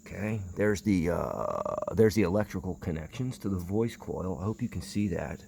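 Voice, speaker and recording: Low-voiced, gravelly man thinking aloud. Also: animated, moderate pace, darkish tone, slight background noise. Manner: rambling, hesitant, muttering to himself. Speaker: vintage audio enthusiast presenting a video. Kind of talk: unscripted hands-on inspection commentary.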